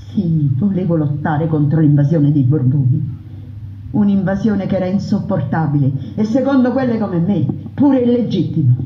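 A woman's voice: on the low side, a little hiss or hum. Impassioned woman, roomy dull radio sound. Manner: impassioned, declamatory. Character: defiant peasant brigand woman, proud, unbroken. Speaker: narrator voicing a brigand woman. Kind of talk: theatrical monologue on radio.

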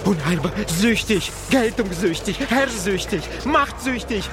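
A woman's voice: medium pitch, expressive, measured pace, close to an everyday voice. A woman playing a bitter, despairing dream voice in a German radio drama, speaking in a declamatory manner. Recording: music and outdoor traffic under voice.

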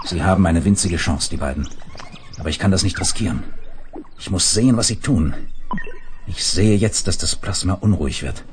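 An actor playing a sober, commanding space leader who tells his own adventure in a radio play, measured and authoritative. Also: low voice, slight background noise, natural voice. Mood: tense, resigned. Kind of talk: 1970s German radio play.

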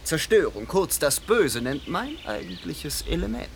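A man's voice: on the high side, very animated, measured pace, vocal fry, slight background noise, heavily acted. An actor playing a vain, urbane stage star and manor host in a radio play, theatrical and savoring his words. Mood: suave, self-satisfied. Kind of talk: radio play acting and reflective monologue.

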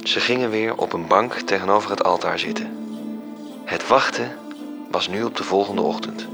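An actor narrating a radio drama as a storytelling angel reporting events. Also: male voice, fairly low pitch, normal speed, gravelly. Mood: suspenseful. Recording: music under the voice.

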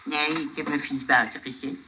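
A man's voice: on the high side, steady tone, moderate pace, close to an everyday voice. An actor playing a stubborn, chatty old grandfather in a comic radio play, rambling, hesitant and repeating himself. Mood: rambling, matter-of-fact. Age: older. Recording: dull, noisy old radio recording.

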